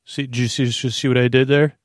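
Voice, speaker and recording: A man with a creaky voice, improvising a meandering, halting bedtime podcast monologue full of asides and self-interruptions. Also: mid-range voice, little pitch movement, no echo, clean studio sound. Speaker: podcast host.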